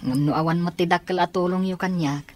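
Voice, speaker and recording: An actress playing a dutiful, grateful young student in an Ilocano radio drama, with an Ilocano accent. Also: female voice, lowish voice, fairly even intonation, brisk, lightly acted. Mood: earnest.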